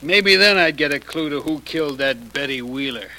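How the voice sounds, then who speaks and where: An actor playing a blunt, eager police sergeant in an old radio drama, with gruff, slangy tough-cop delivery. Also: male voice, fairly high pitch, expressive, moderate pace, pushed and bright, full character voice. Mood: dogged. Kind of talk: 1940s-50s radio drama acting. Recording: noisy old radio recording with clicks.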